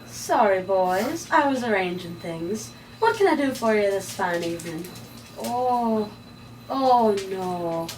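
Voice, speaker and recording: Woman acting playful magic-shop keeper, very expressive. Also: mid-range voice, slow. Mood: welcoming. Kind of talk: script table read. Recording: distant mic, noisy room.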